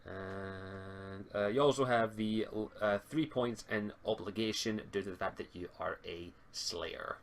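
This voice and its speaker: A man's voice: lowish voice, measured pace, hardly any pauses, slight background noise. A man acting as game master, explaining tabletop game rules over an online call, often stammering and restarting his words.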